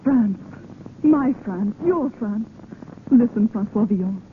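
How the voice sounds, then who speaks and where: A woman's voice: a little high, expressive, slow, phone-quality sound. An actress playing a proud, earnest French noblewoman with formal, dramatic diction in an old radio drama. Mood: earnest pleading. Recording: thin, noisy old radio recording.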